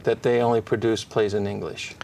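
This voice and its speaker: Gravelly-voiced male interviewer, slightly off-mic. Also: on the low side, flat delivery, fairly quick, darkish tone, recorded from a distance, low-quality recording. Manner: friendly and curious, short acknowledgements.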